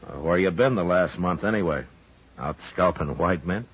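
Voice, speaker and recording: An actor playing a stern, steady frontier marshal with a terse, authoritative manner in a 1950s radio western. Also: male voice, low voice, moderate pace, breathy edge. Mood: gruff, urgent. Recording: muffled old radio recording.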